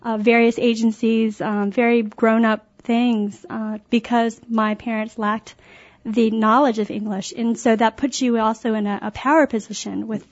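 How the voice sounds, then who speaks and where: A woman guest educator answering interview questions in a measured way with some fillers. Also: on the high side, flat delivery, lo-fi sound.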